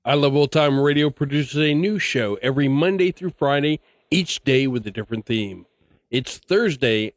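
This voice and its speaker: A man's voice: on the high side, no echo. A man presenting a scripted podcast introduction, addressing listeners as host.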